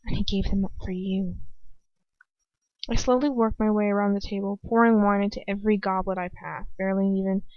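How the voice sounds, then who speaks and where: A woman reading as a frightened, despairing young woman who argues with herself in a halting, anguished way. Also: mid-range voice, fairly even intonation, dry recording, lightly acted. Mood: subdued, guilty. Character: frightened, despairing young woman narrating her own inner turmoil. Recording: dull, muffled lo-fi home recording.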